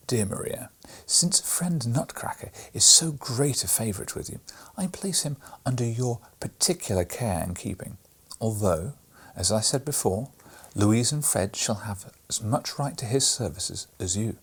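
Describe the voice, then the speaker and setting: A man voicing a kindly, firm father in a read-aloud storybook, measured and gentle. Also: fairly low pitch, expressive, breathy edge, full character voice.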